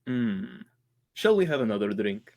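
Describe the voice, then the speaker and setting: A man's voice: lowish voice, sing-song, mellow. Suave, scheming doctor with swooping delivery man acting. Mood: smooth, calculating. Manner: courteous, drawn-out, slyly theatrical. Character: suave, scheming male doctor who hires killers. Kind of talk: old-time radio drama acting.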